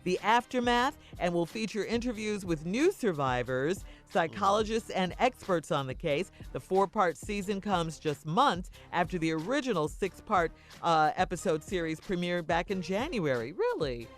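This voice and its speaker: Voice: medium pitch, very animated, moderate pace, hardly any pauses, faint music behind. A radio co-host chatting casually and steering a morning show from topic to topic.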